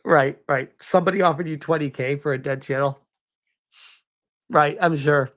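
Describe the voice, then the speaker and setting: A man's voice: a little high, moderate pace, a bit bright. A man doing casual live reaction commentary in an incredulous, mocking and emphatic way.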